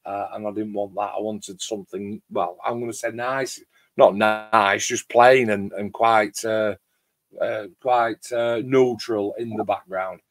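A middle-aged man hosts a relaxed, rambling livestream chat. His voice is loud and fairly low. The sound is dry, with very little room echo. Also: a little slow. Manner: rambling, chatty. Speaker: host of a hobby club livestream. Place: home garage workshop shed.

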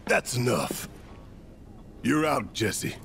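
Gravelly, hot-tempered rebel leader. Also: male voice, medium pitch, lively intonation, takes it slowly, a bit bright, a little hiss or hum, exaggerated voice. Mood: firm. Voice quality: gruff, gravelly. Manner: swearing, commanding, slangy. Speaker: resistance cell leader. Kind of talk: cinematic video game acting.

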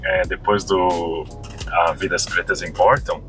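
Brazilian guy in his 30s, lowish bright voice, slangy relaxed chat in a car, faint music behind. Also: slow pace. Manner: relaxed, slangy, animated storytelling. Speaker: podcast guest. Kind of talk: casual chat. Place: parked car interior. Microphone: fixed dashboard camera.

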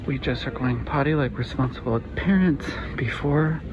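A man's voice: mid-range voice, normal speed, highs cut off, noisy background. A man in his thirties vlogging a family trip outdoors, talking in a gushing, playful, chatty way into a handheld camera. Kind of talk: casual travel vlog. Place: outdoors at a sunny cruise port.

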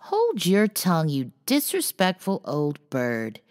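A woman voicing a gruff, sharp-tongued old aunt who scolds and predicts the worst. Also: lowish voice, sing-song, normal speed, pitched above the performer's own voice. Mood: scolding.

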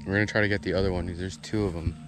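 A man's voice: deep voice, fairly even intonation, racing. A young man outdoors giving upbeat, casual commentary on his fishing trip and calling out to his viewers, recorded on a body-worn action camera. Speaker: fishing vlogger, host. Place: outdoors on a grassy canal bank. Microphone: wide-angle action camera on his body. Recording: music playing under the voice. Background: music.

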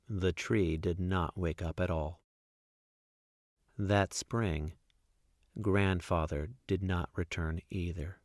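A man reading a children's picture book aloud as a guest storyteller, expressively and with deliberate, emphatic phrasing. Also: fairly low pitch, no echo, studio quality.

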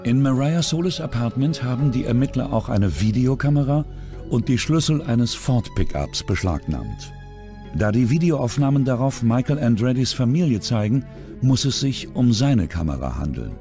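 A man narrating a true-crime documentary in a measured, scripted way. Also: mid-range voice, lively intonation. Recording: soft music underneath.

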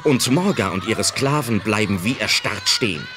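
Animated, lower-voiced male storyteller. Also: moderate pace, noisy background. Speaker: narrator. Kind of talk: scripted audio drama narration. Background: music.

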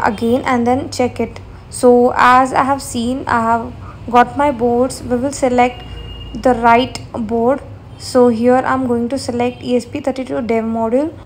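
A woman's voice: fairly high pitch, slight background noise. A woman narrating a step-by-step electronics tutorial as its host.

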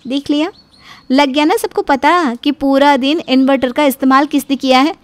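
A woman voicing a cheeky, outspoken younger daughter-in-law in an animated family story, with a sassy, complaining manner. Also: high voice, normal speed, no room sound, natural voice.

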